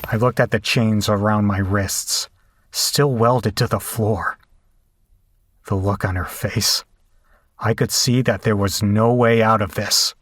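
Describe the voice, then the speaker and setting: A man playing a frightened, battered captive in a horror audio drama, telling the ordeal in the first person with deliberate, dramatic delivery. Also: fairly low pitch, steady tone, fairly bright tone, dry recording. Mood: grim, haunted recounting. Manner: deliberate, dramatic storytelling with hesitant, pained dialogue.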